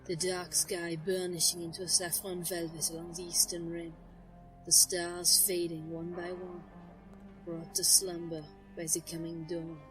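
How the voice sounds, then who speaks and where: A woman playing an ancient, brooding nature sorceress who narrates an audio drama in a solemn, measured, literary manner. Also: fairly low pitch, level tone, lightly acted, poor audio. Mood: solemn, reflective. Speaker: first-person narrator. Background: music.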